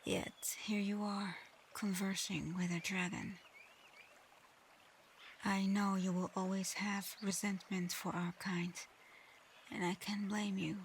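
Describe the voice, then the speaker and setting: A woman playing a gentle, remorseful shapeshifting dragon in human form for an ASMR roleplay, speaking softly and haltingly, often close to a whisper. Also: medium pitch, level tone, a little hiss or hum. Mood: gentle, regretful. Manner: soft, breathy, often near-whispered, halting.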